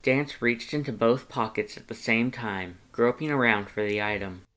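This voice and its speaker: A man narrating fan fiction in an expressive audiobook style. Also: medium pitch, normal speed.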